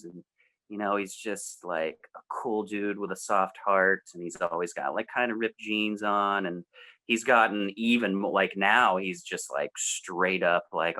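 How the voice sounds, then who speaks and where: Bright-voiced American man chatting casually. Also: on the low side. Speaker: music show co-host. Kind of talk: casual chat.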